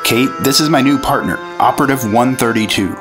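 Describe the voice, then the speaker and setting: An actor playing an earnest, dutiful FBI agent in a 1950s-style radio drama. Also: male voice, medium pitch, fairly quick, over music, lightly acted.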